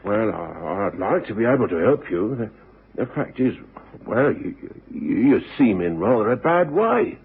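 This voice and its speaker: Husky, hesitant old English landlord. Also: male voice, fairly low pitch, sing-song, measured pace, full character voice. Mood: hesitant, kindly. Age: older. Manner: stammering, hesitant, folksy. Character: hesitant, kindly older male boarding house keeper, worried. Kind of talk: radio drama acting. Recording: tinny old radio broadcast.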